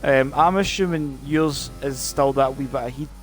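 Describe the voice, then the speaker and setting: A Scottish man hosting live sim racing commentary. He talks in a loose, rambling way, full of ums and restarts, at a middle pitch. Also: moderate pace, faint music behind. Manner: chatty, lots of fillers and restarts. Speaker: race commentator.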